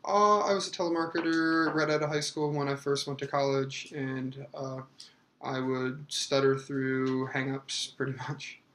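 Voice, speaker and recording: A man in his thirties answering interview questions hesitantly, with many fillers and pauses. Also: mid-range voice, steady tone, a bit bright, echoey room, a little hiss or hum. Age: 30s.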